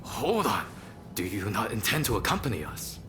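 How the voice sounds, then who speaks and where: Animated, earnest samurai scholar. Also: male voice, on the low side, moderate pace, background noise. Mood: wary, reluctant. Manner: formal, wordy, articulate. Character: earnest, cautious Japanese samurai scholar, excitable man. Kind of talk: video game cutscene acting. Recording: background music under the voice.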